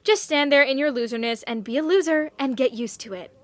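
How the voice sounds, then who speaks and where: Casting: spoiled teen homecoming queen, voiced by a woman, very high voice, imperious and mocking, audio drama, dry studio sound. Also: fairly quick, no room sound, natural voice. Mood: smug, scornful. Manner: imperious, taunting. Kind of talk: scripted audio drama acting.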